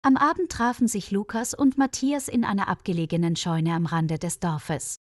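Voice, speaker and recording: A woman reading a scripted mystery story for language learners in a measured, careful storyteller's delivery. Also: mid-range voice, fairly even intonation, no echo, studio quality.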